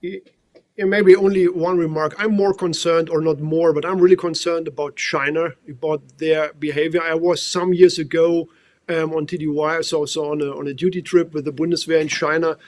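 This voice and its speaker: A man's voice: on the high side, little pitch movement, normal speed. A man, a general giving considered remarks on a panel.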